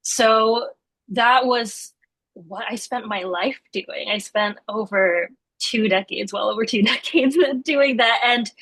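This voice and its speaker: A woman's voice: mid-range voice, fairly bright tone, dry recording, rough recording. A young adult woman from Singapore, a guest in a casual interview, talking thoughtfully and laughing often.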